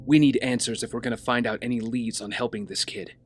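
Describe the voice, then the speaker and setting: A man's voice: mid-range voice, flat delivery, quick pace, natural voice. A man playing a brooding, driven vigilante hero in an audio drama, grave, intense and earnest. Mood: determined. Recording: dramatic music underneath. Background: music.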